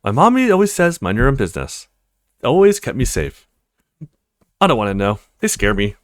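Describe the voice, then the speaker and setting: A man's voice: mid-range voice, wildly swooping pitch, moderate pace, no room sound, clean studio sound. A man voicing a shy, quirky, earnest young female student in a visual novel. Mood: timid. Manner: timid, earnest. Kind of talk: visual novel character reading.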